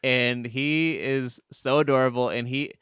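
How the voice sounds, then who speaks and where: A man's voice: medium pitch, steady tone, a little slow, fairly bright tone, clear, resonant tone, no room sound, studio quality. A man co-hosting a podcast, chatting casually and enthusiastically about video games and laughing easily. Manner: enthusiastic, gushing. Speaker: podcast co-host.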